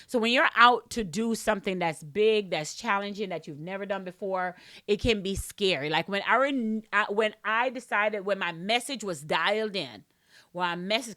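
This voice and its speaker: A woman's voice: medium pitch, a bit bright, dry recording, studio quality. Jamaican woman coaching with emphatic repeats. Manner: emphatic, repeats and restarts phrases. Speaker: podcast host and business coach. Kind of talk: casual teaching monologue.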